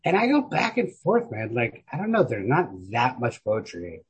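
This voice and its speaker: A man's voice: mid-range voice, wildly swooping pitch, normal speed, slightly rough, rough recording. A man chatting casually about books as a podcast host, slangy and emphatic, swearing freely. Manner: profane, slangy, emphatic, hedging with repeated "like". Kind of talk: casual chat about literature.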